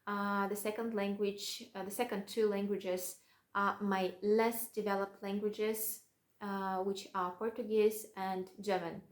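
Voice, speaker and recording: A woman's voice: medium pitch, little pitch movement, slow, echoey room. A young woman from Russia with a Russian accent, a vlogger chatting casually to the camera and joking at her own expense, with many fillers.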